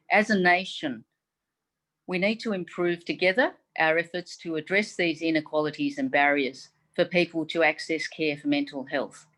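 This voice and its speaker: Measured, formal woman with lowish voice. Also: fairly bright tone. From Taiwan. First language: Mandarin Chinese. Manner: measured, formal delivery with frequent "um"s. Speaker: chief medical advisor. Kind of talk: prepared forum speech. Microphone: video call.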